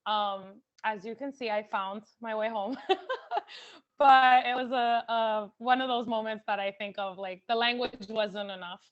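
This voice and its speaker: A woman's voice: a little high, fairly even intonation, measured pace, muffled recording. Giggly woman telling a story. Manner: giggly, chatty, many fillers. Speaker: panelist. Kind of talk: casual panel storytelling.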